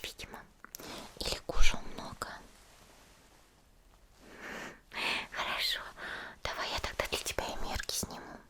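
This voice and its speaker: A woman playing a cheerful, caring young female demon slayer in a soft, chatty whispered ASMR roleplay. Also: close to an everyday voice. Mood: helpful, caring. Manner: gentle, chatty, hesitant little murmurs.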